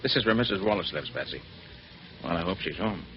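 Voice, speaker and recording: An actor playing a confident, commanding master detective with an assured manner in a 1940s radio drama. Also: male voice, sits low, expressive, fast talker, over loud music. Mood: pensive, courteous. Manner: assured, crisp, authoritative. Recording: dull, noisy 1940s radio recording.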